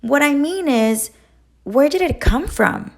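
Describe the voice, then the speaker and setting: A woman's voice: high voice, lively intonation, slow pace, gravelly, a little mellow, voice pushed up, full character voice. A woman doing a huffy, bossy storybook duck. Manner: indignant, huffy, scolding. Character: fussy bossy male duck, quick to scold.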